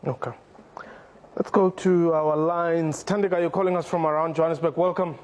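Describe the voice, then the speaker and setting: South african man, talk show host, fairly high voice, brisk probing questions. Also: fairly even intonation, darkish tone. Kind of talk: moderating a call-in discussion.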